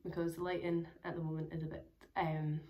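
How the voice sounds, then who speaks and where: A young woman with a hoarse, crackly voice, chatting casually to camera about her travels, rambling with lots of fillers. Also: low voice, little pitch movement, brisk, muffled recording. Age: young adult. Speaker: travel vlogger. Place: small room indoors at home.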